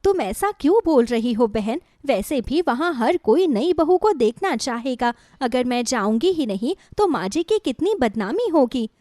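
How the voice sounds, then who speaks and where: A woman voicing a gentle, wronged young sister-in-law in a moral storybook, plaintive, earnest and reproachful. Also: sits high, very animated, measured pace, hardly any pauses, no echo, studio quality. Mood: hurt, protesting. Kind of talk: dramatized Hindi moral storybook.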